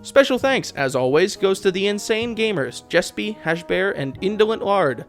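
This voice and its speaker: Animated, expressive game commentator over music. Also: medium pitch, normal speed. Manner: sarcastic, exasperated, swearing rant. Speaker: video essayist, channel host. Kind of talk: opinionated video game commentary.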